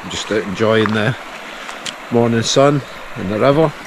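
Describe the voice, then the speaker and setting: Scottish man, 30s, cheerful chatty vlogger, handheld camera outdoors, clear noise behind. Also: on the low side, normal speed. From Scotland. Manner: chatty, cheerful, laughs often. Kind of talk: casual vlog narration. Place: outdoors in a glen by a river. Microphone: action camera at arm's length.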